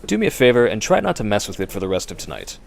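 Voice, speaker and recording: Man playing a muttering, low-voiced male doctor. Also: wildly swooping pitch, vocal fry, full character voice. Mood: fascinated, brisk. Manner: measured, muttering. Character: concerned male doctor examining a strange mole. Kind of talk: radio drama acting.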